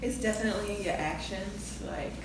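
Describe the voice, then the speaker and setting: A woman's voice: medium pitch, brisk. A woman in her thirties chatting casually and telling personal stories as a panelist in a group talk. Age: 30s. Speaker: panelist on a relationship talk show. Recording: very echoey room, distant mic, clear noise.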